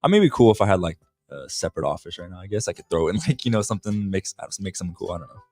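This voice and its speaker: Very deep-voiced easygoing man. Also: lively intonation, racing, darkish tone. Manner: easygoing, brief agreeing replies. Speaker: livestream co-host. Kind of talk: casual chat.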